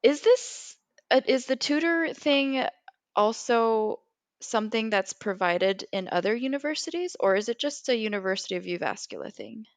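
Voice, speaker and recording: A woman's voice: fairly high pitch, animated, normal speed, no echo. A woman with an American accent from California, co-hosting a podcast in a casual, conversational way. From California, USA. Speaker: podcast co-host.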